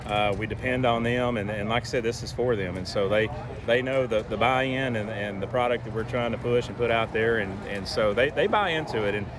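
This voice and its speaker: A man's voice: medium pitch, fairly quick. A man in his forties with a Texan accent, giving casual interview answers as an agriculture teacher. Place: outdoors beside an event tent. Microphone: phone held at arm's length by interviewer. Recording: outdoor ambient noise, vehicles.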